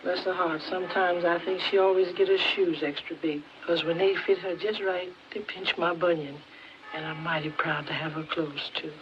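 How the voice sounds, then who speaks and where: A woman's voice: on the low side, flat delivery, normal speed, natural voice. An actress playing an elderly grandmother with a Southern US accent, plain-spoken, caring and world-weary, in folksy dialect. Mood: pleased, surprised. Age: older. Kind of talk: 1940s film drama acting. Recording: muffled noisy 1940s film soundtrack, distant.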